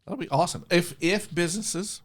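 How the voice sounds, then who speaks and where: A man's voice: high voice, brisk. Man hosting a casual interview. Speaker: interviewer, host.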